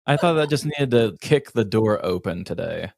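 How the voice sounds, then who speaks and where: A man's voice: lowish voice, expressive, moderate pace, gravelly, darkish tone, no room sound. A man co-hosting a comedy podcast, playful and theatrical when he introduces things. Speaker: podcast co-host.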